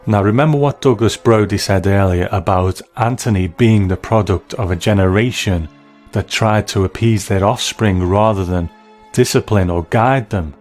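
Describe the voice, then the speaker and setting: A man with a very low voice narrates a scripted critical essay. His delivery is measured and analytical, and music plays faintly behind him. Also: darkish tone. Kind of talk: scripted video essay commentary.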